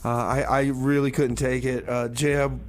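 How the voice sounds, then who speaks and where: Male community radio host, medium pitch, casual and folksy, halting rambles, faint background noise. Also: flat delivery. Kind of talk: casual rambling music-show DJ talk.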